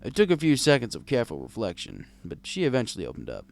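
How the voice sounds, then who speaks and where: A man's voice: medium pitch, huge pitch swings, fast talker, gravelly, soft background music. A man narrating a scripted first-person story as a world-weary, kindly old bartender. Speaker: audiobook narrator.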